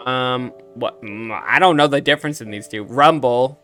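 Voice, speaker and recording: A man's voice: mid-range voice, normal speed, fairly bright tone, faint music behind. A man giving casual, chatty game commentary, reacting and musing aloud as he plays. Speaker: let's play streamer.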